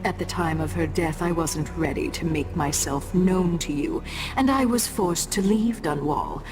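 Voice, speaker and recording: A woman's voice: on the low side, a little slow, natural voice. An actress playing a menacing, coldly sweet usurping witch empress in a video game, speaking with imperious, theatrical deliberation. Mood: regal, smug. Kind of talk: dramatic video game acting. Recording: music behind the voice.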